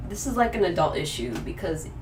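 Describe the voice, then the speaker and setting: A woman's voice: lowish voice, big pitch swings, brisk. A woman with a mid-range voice recaps the news casually on a podcast, full of fillers and restarts. Her voice rings in an echoey room, picked up from a distance over faint ambient noise. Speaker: podcast co-host.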